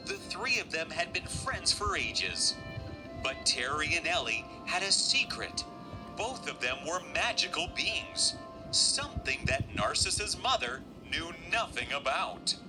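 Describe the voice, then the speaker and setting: A male storyteller reads a scripted children's fairy tale. His pitch is on the high side and very lively, his pace slow, with clear music underneath. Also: low-quality recording.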